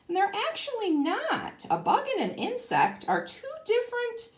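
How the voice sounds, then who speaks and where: A woman teaching young children in a read-aloud lesson, explaining simply and deliberately, with emphasis and questions to the listener. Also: extremely high pitch, wildly swooping pitch, a little hiss or hum. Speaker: kindergarten teacher.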